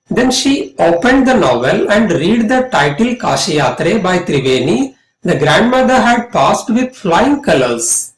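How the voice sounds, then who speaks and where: A middle-aged man with an Indian accent narrates a lesson to students as a college English lecturer, in an emphatic, measured way. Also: high-pitched. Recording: echoey room sound.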